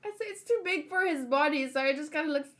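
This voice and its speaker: A woman's voice: high voice, quick pace, fairly bright tone. A woman doing a casual read-aloud with chatty, off-the-cuff commentary in an informal manner. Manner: informal, chatty, acts out dialogue lightly. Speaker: reader giving manga read-along and commentary.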